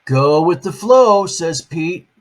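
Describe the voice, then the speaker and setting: A man's voice: a little high, lively intonation, takes it slowly. An older man, a grandfather, reading a picture book aloud on video to his grandkids, playful and chatty, asking them questions as he goes.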